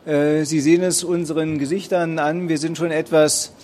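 A man's voice: on the high side, level tone, moderate pace, a little mellow, slight background noise. A tired man with a Berlin accent presenting books at a fair, speaking hesitantly with many ums and uhs. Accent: Berlin German. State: tired after a long fair day. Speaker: publisher presenting at a book fair stage. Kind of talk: spontaneous presentation to an audience. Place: book fair hall.

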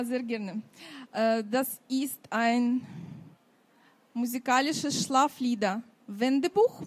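Autorin, 50er, aus der Ukraine, russischer Akzent; hoch, bedächtig, viele Ähs. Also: female voice, a little high, a little mellow. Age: 50s. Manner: halting, many fillers, non-native grammar. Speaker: children's author. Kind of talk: book fair presentation.

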